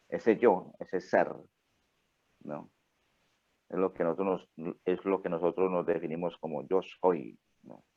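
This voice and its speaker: A man teaching a spiritual class in Spanish, explaining slowly and tagging his phrases with rhetorical questions. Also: low voice. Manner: slow, reflective, rhetorical "¿no?" after each phrase. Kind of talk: online class lecture. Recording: phone-like narrow audio.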